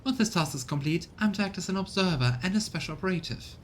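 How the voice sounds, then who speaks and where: An arrogant armored woman agent from a sci-fi game, acted by a man, speaks with formal, condescending polish. The pitch is lifted a little, over a faint rumbling noise. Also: expressive, quick pace. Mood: cool, superior. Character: arrogant female inquisition agent in power armor.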